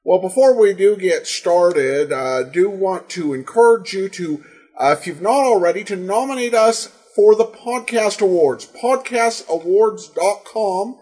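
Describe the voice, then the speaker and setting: A man hosting a podcast, giving a relaxed, chatty introduction with many fillers. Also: high voice, unhurried. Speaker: podcast host.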